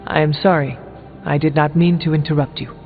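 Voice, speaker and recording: An actor playing a stoic, solemn warrior hero in a video game, speaking in terse, formal, earnest phrases. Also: male voice, fairly high pitch, steady tone, a little fast, in a large hall, narrow, tinny audio, soft background music, natural voice. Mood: polite, reserved. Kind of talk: video game character dialogue.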